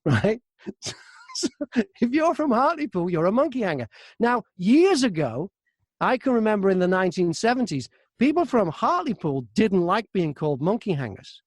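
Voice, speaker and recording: A man with a British accent, an audiobook narrator, chatting and telling stories casually in an animated, chatty way. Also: high voice, moderate pace, no room sound, studio quality. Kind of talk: casual interview storytelling.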